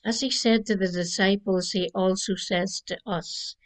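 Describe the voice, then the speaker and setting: An older woman minister from Northern Ireland giving a gentle Christmas Eve reflection from her living room, speaking into a webcam. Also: mid-range voice, fairly even intonation. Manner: slow, gentle preaching cadence. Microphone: webcam at desk distance.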